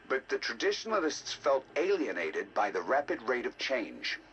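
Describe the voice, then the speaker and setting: A learned male researcher narrating a video game audio log. His mid-pitched voice rises and falls expressively as he muses over ancient data with deliberate, theatrical fascination. A faint layer of noise sits behind him. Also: fairly bright tone, poor audio. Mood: grave, explanatory. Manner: deliberate, theatrical, musing academic delivery. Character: scholarly male scientist, intrigued by ancient alien data. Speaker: researcher narrating decoded alien history logs.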